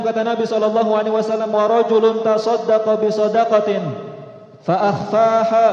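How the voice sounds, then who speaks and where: A man delivering a Friday sermon in measured tones, chanting the Arabic quotations. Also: high-pitched, dark tone. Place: large mosque hall. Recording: echoey mosque hall, dull lo-fi sound.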